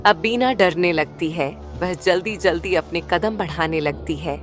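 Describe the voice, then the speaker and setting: A woman's voice: fairly low pitch, measured pace, music in the background. A woman reading scripted narration as the storyteller of a cartoon tale.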